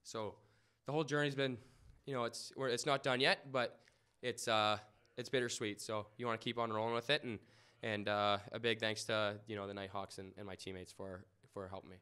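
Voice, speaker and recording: A young man answering reporters after a game in an indoor press room, humble and halting, with many fillers and restarts. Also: lowish voice, level tone, pushed and bright, clean tone. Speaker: lacrosse goalie. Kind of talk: post-game press interview. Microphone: podium mic in front.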